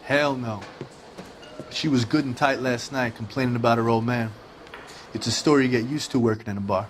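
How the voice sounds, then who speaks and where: A man's voice: medium pitch, steady tone, brisk, a little hiss or hum, natural voice. An actor playing a world-weary male bartender in a 1940s detective video game, talking in a casual, slangy way. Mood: casual, dismissive. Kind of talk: scripted dramatic game acting.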